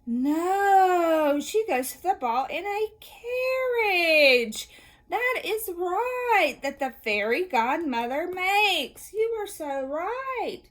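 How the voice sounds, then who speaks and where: High-pitched, animated woman teaching young children. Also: extremely slow. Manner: encouraging, praising, asks questions and answers them. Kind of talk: read-aloud and question-and-answer lesson for kids.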